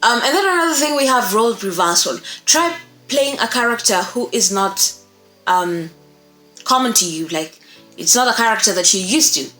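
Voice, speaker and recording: A woman's voice: on the high side, expressive, normal speed, sharp tone. A young adult woman giving an instructional talk to camera as a presenter, emphatic and often checking in with her listeners. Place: small indoor room, seated. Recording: echoey room with faint music.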